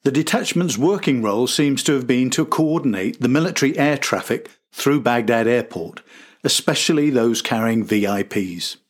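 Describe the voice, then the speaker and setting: A man's voice: mid-range voice, animated, normal speed. A man hosting and narrating a scripted historical documentary. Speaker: podcast host and narrator.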